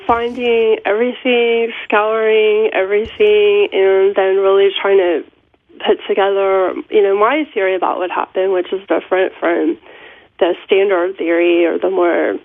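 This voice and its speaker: A woman's voice: medium pitch, level tone, measured pace, no echo. A middle-aged woman, congested from a cold, calling in to a radio interview, chatty and laughing between thoughts. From Seattle area. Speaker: author and guest caller. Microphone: telephone. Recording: tinny dry phone line.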